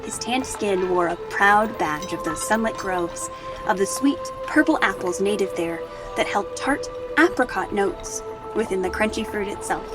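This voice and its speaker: A woman's voice: mid-range voice, lively intonation, measured pace, over loud music, close to an everyday voice. A woman playing an earnest young army sergeant, giving dramatic first-person narration of a battle in an audio drama. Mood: earnest, grave. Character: earnest young human female artillery sergeant recalling battle.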